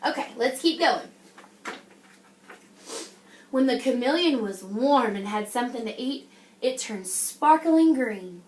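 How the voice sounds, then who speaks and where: Female primary teacher, high-pitched, interactive read-aloud, encouraging and checking in often, recorded in an echoey room. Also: expressive, takes it slowly, long pauses, a little mellow, slight background noise. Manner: encouraging, addresses the class as guys, frequent check-in questions. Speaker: teacher reading a picture book to young children. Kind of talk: interactive classroom read-aloud with questions and praise.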